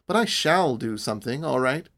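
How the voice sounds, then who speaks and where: A man's voice: mid-range voice, sing-song, normal speed, a bit bright. A man voicing a stubborn, defiant young woman in a storybook, challenging and intense. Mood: cool, determined.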